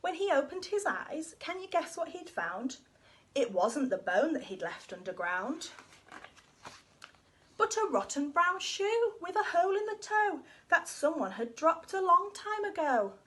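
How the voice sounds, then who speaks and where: A woman reading a rhyming children's story aloud in an expressive, sing-song way. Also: extremely high pitch, measured pace.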